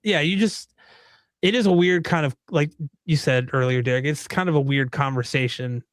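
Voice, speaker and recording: A man's voice: a little high, normal speed, no room sound, studio quality. A man co-hosting a movie podcast, chatty and casual, leaning heavily on "like" and "um". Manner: chatty, heavy on "like" and "um". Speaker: podcast co-host. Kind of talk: casual chat about movies.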